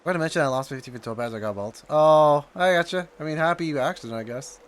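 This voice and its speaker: A man's voice: fairly high pitch, fast. A man doing casual, informal live reaction commentary on a game and chatting to viewers.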